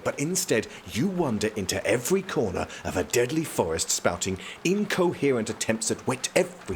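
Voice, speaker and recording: A man's voice: on the low side, a bit bright, a little hiss or hum. A man playing a stern, protective prince in an audio drama, speaking in a formal, clipped, commanding way.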